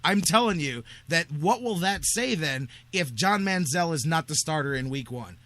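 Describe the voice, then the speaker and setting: A man's voice: on the high side, animated, moderate pace, fairly bright tone, dry recording. A man hosting sports talk radio, arguing his points emphatically and repeating phrases for stress.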